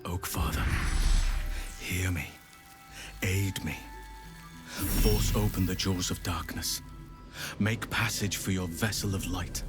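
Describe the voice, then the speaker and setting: Deep-voiced, grave male druid. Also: fairly even intonation, music in the background. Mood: invoking. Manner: measured, grave, commanding. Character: solemn, resolute male druid healer with grave authority. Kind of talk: dramatic fantasy video game acting.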